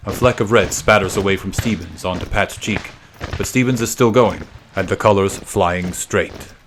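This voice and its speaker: A man narrating a story from a script as a podcast reader, in a measured, suspenseful, dramatic style. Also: deep voice, noisy background.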